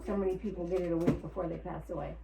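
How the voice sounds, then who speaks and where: Lower-voiced woman, distant and echoey. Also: steady tone, very fast, creaky, background noise. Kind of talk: casual group discussion. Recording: echoey room, off microphone.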